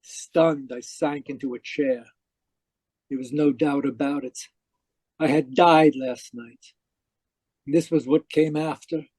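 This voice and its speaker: Performer as a distraught dead spiritualist, soft dark tone, earnest, dramatic, radio play, dry recording. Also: medium pitch, steady tone, slow pace, pauses often, husky, no echo. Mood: haunted narration. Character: distraught, newly dead spiritualist narrating the tale. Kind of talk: old-time radio mystery acting and narration.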